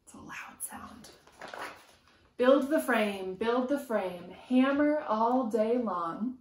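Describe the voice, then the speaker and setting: Woman doing storytime for little kids, takes it real slow, sing-song and emphatic, bit of room echo. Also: fairly high pitch, expressive, a little hiss or hum. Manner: rhythmic, sing-song, emphatic on sound words. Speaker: preschool teacher reading storytime. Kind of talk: expressive read-aloud for young children. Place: small room at home, indoors. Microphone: camera a few feet away. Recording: roomy echo from camera distance.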